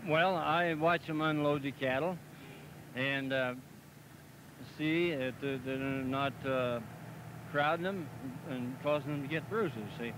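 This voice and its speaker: A middle-aged man, talking plainly and haltingly about cattle in an outdoor interview, with many "uh"s and "see?"s. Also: on the high side. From Missouri, US. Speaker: packing plant representative for a farmers group. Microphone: handheld mic held by interviewer. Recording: noisy 1970s 16mm film sound, outdoors.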